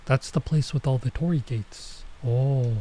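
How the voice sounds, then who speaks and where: Mellow, informal male gaming streamer. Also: medium pitch, rough recording. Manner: informal, halting, mutters to himself while translating. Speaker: streamer playing a visual novel. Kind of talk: casual let's play commentary, reading dialogue aloud.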